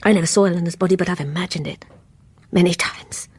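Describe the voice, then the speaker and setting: Sad dreamy british housewife in a radio play, kinda husky, confessing stuff with sighs. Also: female voice, lowish voice, fairly even intonation, fast, a little mellow. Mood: haunted, confessional. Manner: wistful, confessional, sighing. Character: lonely, dreamy, troubled married woman confiding her past. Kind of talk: radio drama acting.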